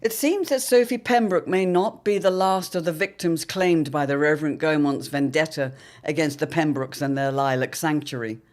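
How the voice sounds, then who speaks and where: A man's voice: fairly high pitch, normal speed, few pauses. A man narrating a serial drama as announcer, in a dramatic, portentous old-time radio style. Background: music under the voice.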